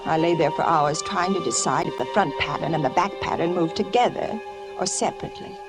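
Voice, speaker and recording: A woman's voice: low-pitched, fairly quick, loud music behind the voice, lightly acted. An actress playing an anxious, secretive young wife losing her mind in a suspense radio drama, with a dramatic delivery that drops toward whispers. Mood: obsessed. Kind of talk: vintage suspense radio drama acting, first-person narration.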